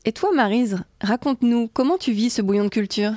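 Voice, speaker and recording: Upbeat French woman co-host, slightly high voice. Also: a little fast, a little mellow, dry recording, clean studio sound. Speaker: podcast co-host. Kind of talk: scripted travel podcast talk.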